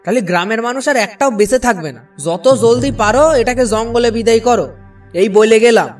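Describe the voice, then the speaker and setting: A man's voice: extremely high pitch, quick pace, distant mic, soft background music. A man playing a stern, alarmed village father in a Bengali cartoon, scolding and insistent. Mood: stern, warning.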